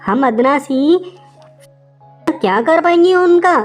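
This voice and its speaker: Woman as a wary, high-pitched pack wolf. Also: takes it slowly, faint music behind. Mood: incredulous. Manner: excitable, protesting. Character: wary female wolf of the pack, easily alarmed.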